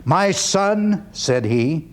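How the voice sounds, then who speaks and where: A man playing a proud, wry 19th-century freethinking orator in a dramatic one-man stage reading, with deliberate, declamatory phrasing. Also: fairly high pitch, expressive. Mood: storytelling, wry. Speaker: actor performing a one-man play.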